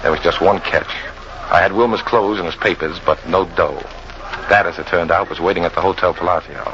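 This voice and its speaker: An actor playing a tough, brooding jungle guide who narrates an old-time radio drama in colloquial first person, full of hatred. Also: male voice, low-pitched, brisk, fairly bright tone. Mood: cynical. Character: bitter hard-boiled jungle hunting guide, fugitive, confessing his hatred. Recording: muffled, noisy old radio recording.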